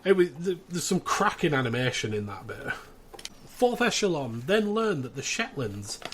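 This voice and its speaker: A man's voice: a little high, big pitch swings, normal speed, slight background noise. A British man hosting a podcast, casually recapping a plot in a chatty way with wry asides. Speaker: podcast host.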